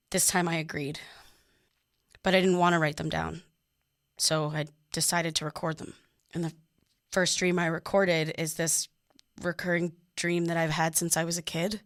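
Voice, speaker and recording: A woman's voice: lowish voice, no room sound, studio quality, close to an everyday voice. A woman playing an exhausted, uneasy woman in her thirties narrating a confessional fiction podcast. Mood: reflective. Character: exhausted, uneasy young woman recording her dream diary.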